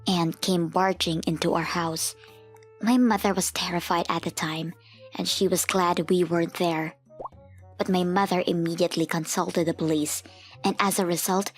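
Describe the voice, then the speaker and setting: A woman's voice: lowish voice, close to an everyday voice. A woman narrating a scripted first-person story as a grown woman soberly looking back on a family memory. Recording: music under the voice.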